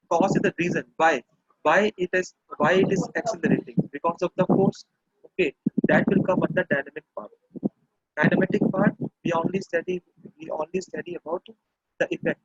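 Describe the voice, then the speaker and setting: A man with a high, gravelly and husky voice teaches physics over an online class. He keeps repeating himself and saying "okay" as he explains. The sound is dry, muffled and lo-fi, like a call. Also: no echo. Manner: repetitive, restarts phrases, checks in with "okay". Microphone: computer or phone mic over internet call.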